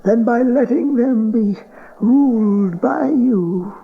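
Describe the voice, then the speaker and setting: A man's voice: extremely high pitch, vocal fry, exaggerated voice. An actor playing a dying old king in an audio Bible drama, bitter, scornful and self-pitying, with a weak, wasted voice. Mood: cutting, resentful. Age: older. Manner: drawn-out, bitter, sardonic. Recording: dull cassette tape transfer.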